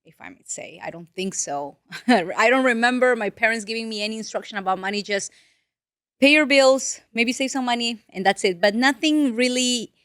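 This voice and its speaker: A woman's voice: on the high side, moderate pace, dry recording, studio quality. A woman from Peru, a native Spanish speaker in her late twenties, telling her story earnestly as a guest financial advisor. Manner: earnest, animated storytelling with restarts. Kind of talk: casual interview talk.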